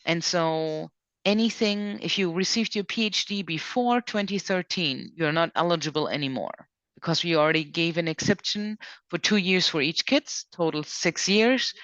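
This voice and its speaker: A woman's voice: lowish voice, moderate pace, a bit bright, dry recording. A woman presenting a programme in an informal online question session, reading questions out and explaining rules step by step. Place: online video conference call. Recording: dull online-call audio.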